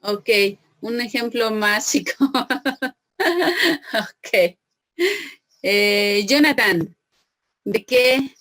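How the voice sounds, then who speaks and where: A woman's voice: a little high, very slow, long pauses, a bit bright, no room sound. A woman teacher, lecturing an online class, patiently questioning students and praising them by name.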